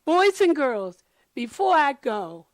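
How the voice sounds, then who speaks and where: A woman reading a children's picture book aloud expressively, deliberately addressing children and explaining the pictures. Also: high-pitched, very animated, no echo.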